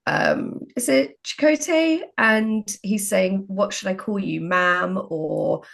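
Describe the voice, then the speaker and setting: A woman's voice: mid-range voice, slow, creaky. A woman writer talking casually and informally in an interview. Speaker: writer in conversation.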